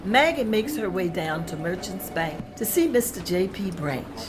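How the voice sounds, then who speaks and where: A woman's voice: fairly low pitch, expressive, a little slow, noisy background. A woman reading out scene directions at a script table read in a plain, descriptive manner.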